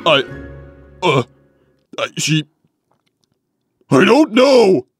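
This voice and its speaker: Man as gruff cartoon echidna warrior, dense, earnest, stammering, animated pitch, light music behind. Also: very slow, heavily acted. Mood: defensive, flustered. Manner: stammering, easily flustered, earnest. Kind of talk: comic dub voice acting.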